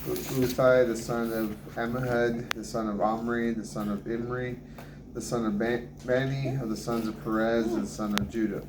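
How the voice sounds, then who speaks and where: A middle-aged man reading scripture aloud to his family at a kitchen table, halting and restarting on hard names. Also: mid-range voice, flat delivery. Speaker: family Bible reader. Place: kitchen table at home, indoors. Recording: echoey kitchen with background noise.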